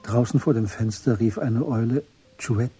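A man narrating a literary radio drama from a script in a measured, understated way. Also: mid-range voice, warm tone, breathy edge.

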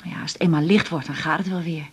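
An actress from the Netherlands playing a homely, fussing, lonely older mother in a Dutch radio drama, with a husky voice. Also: sits low, fairly even intonation, fast talker. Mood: pleased, wistful. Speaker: actor playing the doctor's mother. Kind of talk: naturalistic radio drama dialogue.

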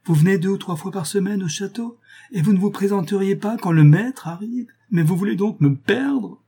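A man's voice: medium pitch, moderate pace, mellow, husky, lightly acted. A man reading a novel aloud as a remorseful married noblewoman, grave, reproachful and resolute as she renounces her lover. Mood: urgent, commanding.

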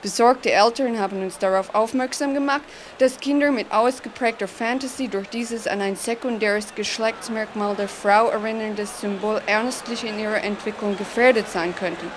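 A woman's voice: medium pitch, fairly even intonation, moderate pace, hardly any pauses, background noise, close to an everyday voice. An actress playing a prudish, sanctimonious society lady in a satirical radio play, pompous and preachy, mixing English words in. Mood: self-righteous, earnest.

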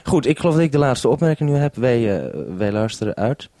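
A man's voice: medium pitch, expressive, brisk, mellow, no room sound. A man talking over a two-way radio link as a programme maker, hesitant and considerate, handing over as he goes. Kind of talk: two-way radio conversation with handovers.

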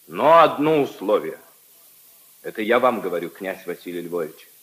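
An actor playing a lovelorn minor official, resigned, earnest and polite, in a solemn 1950s radio drama style. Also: male voice, sits low, big pitch swings, normal speed, a bit bright. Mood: resolute, pleading.

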